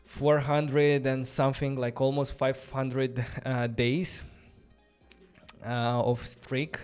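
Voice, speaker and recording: A man's voice: medium pitch, a little mellow, clear, resonant tone. A man with a Romanian accent, a non-native English speaker, hosting a casual live-coding stream and thinking aloud in a loose, chatty way full of fillers. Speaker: host of a coding livestream. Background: faint background music.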